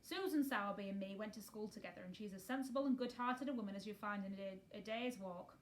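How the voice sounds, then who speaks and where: A woman's voice: medium pitch, fast talker, lightly acted. Woman as a homely, plain-spoken housekeeper. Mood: relieved, deferential.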